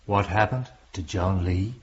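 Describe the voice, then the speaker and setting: An actor playing an inquisitive, sceptical gentleman in a period radio drama, probing and deliberate. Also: sits low. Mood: curious. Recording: dull lo-fi audio.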